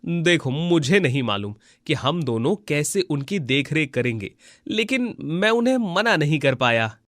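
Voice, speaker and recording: A kind, helpful village husband from a cartoon folk tale, acted by a man. His voice sits fairly high for a male. He sounds polite and earnest, recorded dry and close. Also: very animated, a little slow, fairly bright tone, no room sound. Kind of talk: animated Hindi moral story voice acting.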